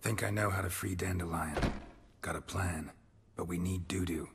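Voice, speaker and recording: An actor playing a stoic, world-weary monster hunter in a video game, speaking tersely in a gruff, deadpan voice. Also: male voice, low voice, normal speed, very noisy. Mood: businesslike. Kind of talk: video game cutscene dialogue.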